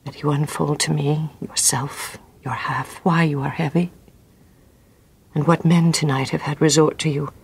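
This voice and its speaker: A woman's voice: low-pitched, steady tone, unhurried, creaky, slightly rough, heavily acted. An actress playing a devoted Roman noblewoman pleading with her husband in a classical radio drama, with urgent verse delivery.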